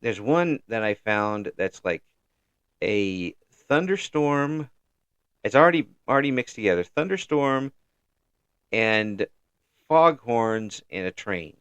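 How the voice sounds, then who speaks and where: A man in his fifties hosting a casual chat interview, talking in a halting, rambling way with repeated words. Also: on the low side, animated, a bit bright, clear, resonant tone, dry recording. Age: 50s. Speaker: interview show host.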